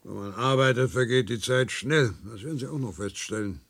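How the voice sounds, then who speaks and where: An actor playing a dutiful, stubborn 95-year-old worker in a radio play, husky and gravelly, prone to stammering. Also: male voice, mid-range voice, brisk, fairly bright tone. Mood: calm, proud. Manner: stammers and repeats words when agitated.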